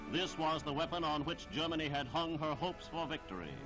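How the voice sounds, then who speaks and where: A man reading scripted 1940s newsreel narration in a formal, declamatory, measured delivery. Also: fairly high pitch, animated, rough recording. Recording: 1940s archival newsreel soundtrack with music.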